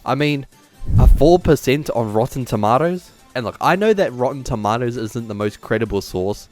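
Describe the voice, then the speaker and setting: A man's voice: mid-range voice, expressive, moderate pace, darkish tone, faint music behind. A man presenting an opinionated video essay in a casual way, partly scripted, as the host. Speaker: YouTube host.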